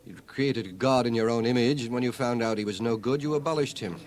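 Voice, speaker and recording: An actor playing a dry, erudite older scholar in a radio drama, measured, precise and stoical. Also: male voice, medium pitch, darkish tone, close to an everyday voice. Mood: dry, cutting. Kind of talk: radio drama acting and first-person narration. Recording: 1980 radio drama, home cassette transfer.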